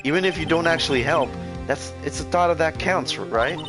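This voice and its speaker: A woman's voice: sits low, fairly quick, music in the background, lightly acted. A woman voicing an earnest, worried young male defense lawyer in a video game, in a casual way. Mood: reassuring. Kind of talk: video game dialogue voice-over.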